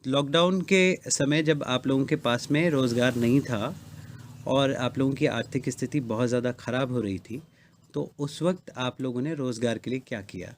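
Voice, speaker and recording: A man's voice: medium pitch, normal speed, a little hiss or hum. A man conducting an interview who asks patient questions and repeats the answers back to confirm them.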